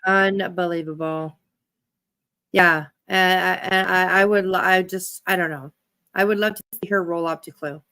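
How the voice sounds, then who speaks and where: A woman's voice: on the low side, fairly even intonation, normal speed, pushed and bright. A woman giving casual reaction commentary as a livestream host, talking in a blunt, sweary way.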